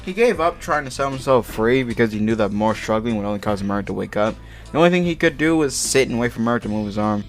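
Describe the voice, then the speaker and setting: A man's voice: mid-range voice, lively intonation, fast. A man hosting a comic reading of fan fiction, stumbling over words and breaking into slangy, profane, exasperated asides. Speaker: host reading fan fiction aloud for an online video. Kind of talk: comic dramatic reading with casual side commentary. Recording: music playing behind the voice. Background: music.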